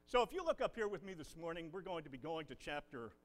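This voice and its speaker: A man, a visiting preacher leading a Bible class and prayer in a folksy, animated way. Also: a little high, fast talker. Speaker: guest preacher. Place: church building.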